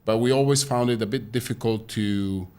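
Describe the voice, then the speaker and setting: A man from Italy, a guest on a podcast in a studio, explaining his story in a relaxed way with a few fillers. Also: lowish voice, moderate pace, smooth. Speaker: guest, business founder. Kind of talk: interview answers.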